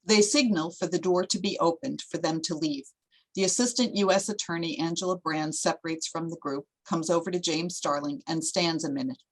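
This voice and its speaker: Low-voiced woman narrating a screenplay. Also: fairly quick, poor audio. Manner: plain, descriptive reading. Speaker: stage-direction narrator.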